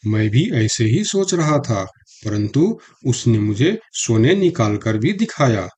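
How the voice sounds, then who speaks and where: A man as a husky, gullible cartoon donkey. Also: mid-range voice, very animated, takes it slowly, a little mellow, exaggerated voice. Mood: earnest. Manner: naive, wide-eyed, earnest. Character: gullible, innocent male donkey, eager and easily fooled. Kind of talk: Hindi children's cartoon story dialogue.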